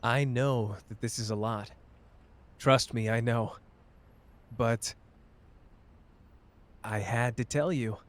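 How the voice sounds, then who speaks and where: A man playing a cocky but wounded young sorcerer in an intimate roleplay audio drama, with halting, emotional delivery. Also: mid-range voice, steady tone, lightly acted. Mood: tender, earnest.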